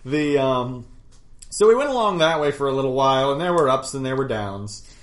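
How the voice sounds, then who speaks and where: Brief: male game master, mid-pitched and ordinary, rambling, self-mocking storyteller on a podcast. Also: lively intonation, brisk. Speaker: game master recapping a past campaign. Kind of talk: casual podcast chat.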